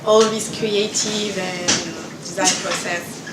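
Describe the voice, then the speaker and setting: A woman's voice: mid-range voice, fairly even intonation, slow. A woman, a bootcamp graduate, talking in a cheerful, fond way. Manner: cheerful, a little nostalgic. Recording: echoey room, distant mic, background noise. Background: ambient noise.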